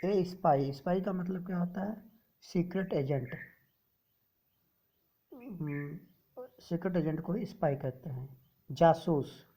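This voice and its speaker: A woman teacher explaining a textbook story to students, reading English lines slowly and then explaining them in Hindi. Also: on the low side, animated, mellow, slightly rough.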